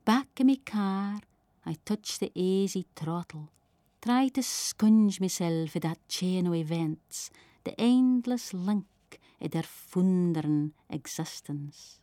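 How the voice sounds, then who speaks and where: A woman's voice: lowish voice, lively intonation, slow, warm tone, no echo. A woman with a Shetland Scottish accent reading her own literary writing aloud, as a poet and letter writer.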